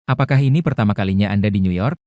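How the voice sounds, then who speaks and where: A man briskly reading translated phrases for learners in a plain way. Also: fairly low pitch, a little mellow, no echo. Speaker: translation reader.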